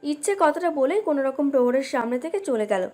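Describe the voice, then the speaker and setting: Female narrator for romantic audio fiction, high register, scripted read with a light music bed underneath. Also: expressive, fairly quick, darkish tone. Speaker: story narrator. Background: background music.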